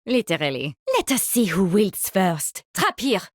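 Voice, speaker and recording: A woman's voice: medium pitch, very animated, measured pace, no room sound, clean studio sound. A woman playing an eccentric, haughty mycologist with a French accent, theatrical and gleefully morbid, in video game voice lines. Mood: sly, confident. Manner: theatrical, savoring, condescending.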